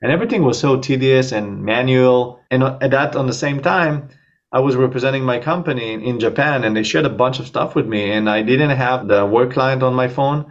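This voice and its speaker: A startup co-founder with an Israeli accent talks through his company in a relaxed interview, pitched in the middle of a man's range. His speech runs fluently but is peppered with fillers. The audio sounds muffled, as if it came over a video call. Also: fairly quick. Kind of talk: casual interview talk.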